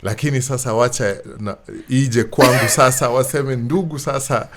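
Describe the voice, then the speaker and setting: A man with a Kenyan accent hosting an interview in a conversational way, often repeating himself as he searches for words. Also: medium pitch. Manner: halting, searching for words with repetitions. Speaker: show host. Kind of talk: conversational interview hosting, mixing Swahili and English.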